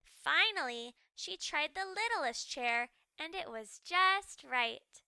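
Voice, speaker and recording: A woman reads a children's story with animated, dramatic delivery. Her voice is high and very bright, and she takes her time over each phrase. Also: slow, gravelly, no room sound. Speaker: children's read-aloud storyteller. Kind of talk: expressive scripted storybook narration.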